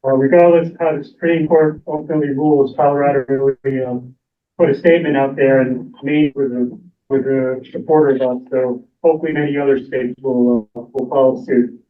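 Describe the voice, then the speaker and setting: A man's voice: medium pitch, steady tone, a little fast. A man speaking hesitantly at a community meeting. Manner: hesitant, fillers. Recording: tinny, phone-like audio.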